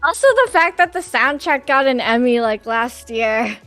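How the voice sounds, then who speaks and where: A woman's voice: a little high, animated, moderate pace, fairly bright tone, faint music behind. A woman chatting casually as a guest on a fan podcast. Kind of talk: casual chat.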